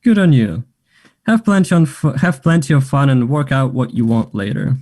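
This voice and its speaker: Confident man acted by a man, medium pitch, mellow tone, flirty, casual, hesitant phrasing, play reading. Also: animated, moderate pace, close to an everyday voice. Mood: teasing, forward. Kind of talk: table read of a stage play.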